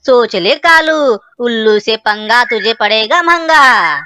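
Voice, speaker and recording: Woman voicing a high, bright, boastful owl bully. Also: expressive, slow pace, clear, resonant tone, no echo, heavily acted. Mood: boastful, threatening. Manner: taunting, bragging. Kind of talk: children's cartoon story dialogue.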